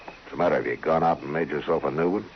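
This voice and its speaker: A very low, weighty man's voice plays a stern western lawman. He is terse and blunt, steady and protective. It sounds like an old radio drama, muffled, with hiss behind it. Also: racing. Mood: probing, dry.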